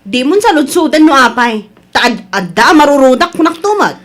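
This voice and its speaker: A woman plays a stubborn village wife from a radio serial. Her voice is very high and highly animated, with an Ilocano Filipino accent and a huffy, insistent delivery that brooks no argument. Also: normal speed. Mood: indignant. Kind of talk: Ilocano radio drama acting.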